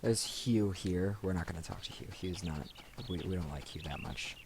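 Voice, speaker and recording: A man's voice: low voice, normal speed, creaky, background noise. A man hosting a let's play, rambling casually and thinking aloud into a desk microphone. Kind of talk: casual gaming commentary. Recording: music behind the voice. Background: video game music.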